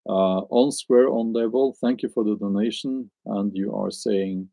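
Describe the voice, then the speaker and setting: A man's voice: lowish voice, fairly even intonation, unhurried, creaky, warm tone, no echo. A man with a Norwegian accent, chatting on a live stream and answering his viewers, hesitant and musing as he searches for words. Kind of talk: casual live stream chat.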